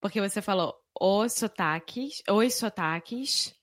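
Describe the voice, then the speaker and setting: A woman from Rio de Janeiro with a Carioca accent, co-hosting a casual podcast, frank and lively, laughing easily. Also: medium pitch, a little slow, no room sound, studio quality. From Rio de Janeiro, Brazil. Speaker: podcast co-host, Portuguese teacher.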